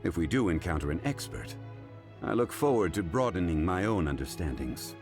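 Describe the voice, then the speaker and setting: A man voicing a calm, dignified male scholar in a video game, modest and speaking in a measured, formal, articulate way. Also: low voice. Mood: composed. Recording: game audio with background music.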